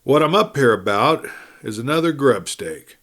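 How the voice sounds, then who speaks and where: A man's voice: mid-range voice, animated, normal speed, a bit bright, lightly acted. A man voicing a direct, persuasive prospector in his prime in a western audiobook, with rustic speech. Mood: smooth. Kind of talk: western audiobook character acting.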